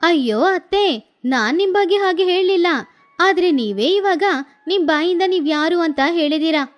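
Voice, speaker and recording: A woman voicing a young wife and daughter-in-law who talks back slowly and tartly, over faint music. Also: very high-pitched, expressive. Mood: retorting.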